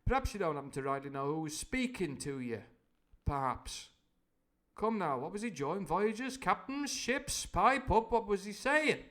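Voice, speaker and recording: A man voicing a loud, domineering pirate landlord from a storybook, blustering in sailor's talk. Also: sing-song, normal speed. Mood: interrogating. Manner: booming, blustering, sailor's speech.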